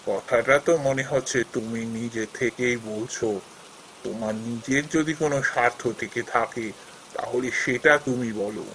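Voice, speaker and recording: A man plays an elderly village landlord from a cartoon folk tale. He speaks in a measured, paternal way, generous yet firm, at a middling pitch with faint noise behind him. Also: steady tone, a bit bright, lightly acted, rough recording. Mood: calm, firm. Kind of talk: Bengali fairy-tale cartoon dialogue.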